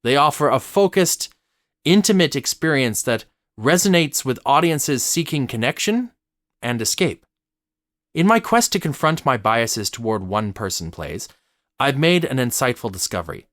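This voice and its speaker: Lively man reading a personal essay. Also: medium pitch, dry recording, studio quality. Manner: articulate, formal, measured phrasing. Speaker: theatre commentator. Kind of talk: scripted personal essay narration.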